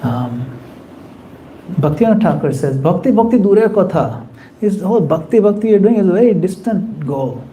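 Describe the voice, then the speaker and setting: A man leading a devotional class and answering questions in an earnest, kindly advising manner. His voice sits a little high and sounds mellow. There is a faint hiss behind him. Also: animated, normal speed, rough recording. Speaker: class leader taking questions. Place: community temple class.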